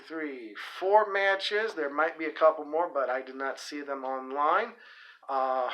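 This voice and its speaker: A man's voice: medium pitch, bright, forceful tone, low-quality recording. A man hosting a casual solo report on upcoming wrestling shows, rambling with fillers, restarts and folksy asides. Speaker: host of a wrestling events report.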